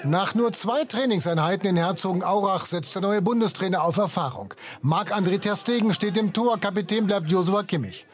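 High-pitched male German sports reporter. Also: a little fast. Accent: standard German. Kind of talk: scripted report narration.

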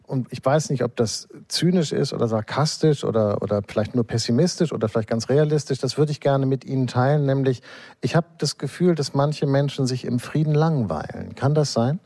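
A man's voice: mid-range voice, fairly quick, darkish tone, no room sound. A man hosting a conversational long-form interview, feeling his way tentatively toward his questions. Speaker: interviewer, host.

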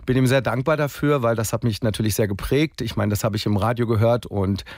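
A man in his forties from Berlin, a singer chatting in a relaxed, casual interview with many fillers. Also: mid-range voice, quick pace, no echo, clean studio sound. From Berlin, Germany. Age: 40s.

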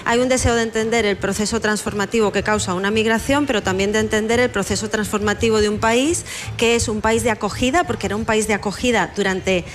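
A woman's voice: mid-range voice, steady tone, fast, faint music behind. A woman novelist talking through her book in an interview, reflective and fond of emphatic repetition. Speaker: novelist guest. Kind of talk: conversational interview.

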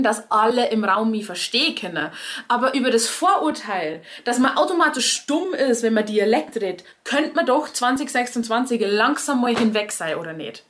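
A woman with a Bavarian accent explaining in a conversational, wry way, addressing her audience directly as a cabaret performer would. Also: fairly high pitch.

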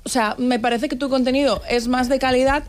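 Woman, very high voice, casual panel chat. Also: fairly even intonation, brisk.

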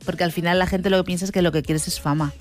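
A woman's voice: on the low side, steady tone, fast talker, faint music behind. A woman from giving casual advice as a panelist on a talk show.

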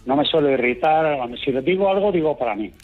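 A man calling in to a radio talk show, telling his stories in a calm, unhurried way. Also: a little high, over loud music. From Extremadura, raised in Madrid. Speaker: caller. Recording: muffled phone line.

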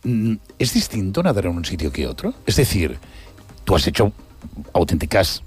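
A man's voice: low-pitched, huge pitch swings, normal speed, slightly rough, faint music behind. A male host interviewing a guest, recapping facts and affirming often with short agreements. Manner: warm, affirming, recaps facts, many "claro". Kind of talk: radio-style interview.

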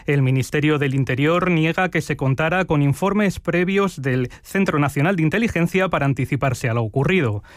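A man's voice: fairly high pitch, no room sound. Formal male news anchor, dry studio. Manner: measured, formal delivery. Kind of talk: scripted news reading.

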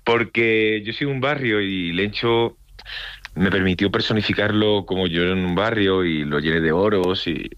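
A man with a very low voice and a mixed Andalusian, Castilian and Mexican accent, chatting in an interview. He tells his stories with animated energy and acts out what others said. The sound is close and dry. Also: a little slow, no room sound, muffled recording. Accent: mixed Andalusian, Madrid Castilian and Mexican Spanish. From Andalusia, Spain. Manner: animated storytelling, acts out quoted dialogue. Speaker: actor, interview guest. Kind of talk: casual interview chat.

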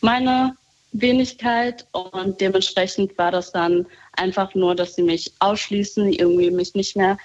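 A woman talking casually on a call, reflective and soft-spoken, often laughing lightly. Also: mid-range voice, fairly even intonation, unhurried, muffled recording. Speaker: guest.

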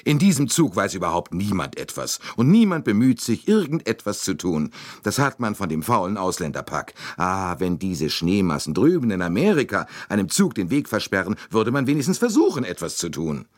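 A man voicing a loud, complaining older American woman traveler in an audiobook reading. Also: huge pitch swings, normal speed, dry recording, clean studio sound. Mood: indignant. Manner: indignant, shrill, complaining.

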